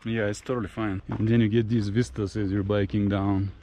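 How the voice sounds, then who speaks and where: A man's voice: fairly low pitch, little pitch movement, brisk, dark tone. A man talking casually as a travel vlogger, thinking aloud in a conversational way. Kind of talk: casual travel vlog commentary to camera. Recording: noisy outdoor and car background.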